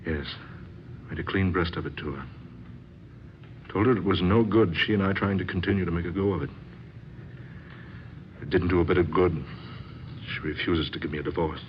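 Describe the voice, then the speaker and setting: An actor playing a discontented, skeptical husband in an old-time radio drama, gentlemanly and earnest in short, clipped sentences. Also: male voice, sits low, moderate pace, pauses often, close to an everyday voice. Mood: desperate, confiding. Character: skeptical, discontented husband in secret love affair. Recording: muffled, noisy old radio recording.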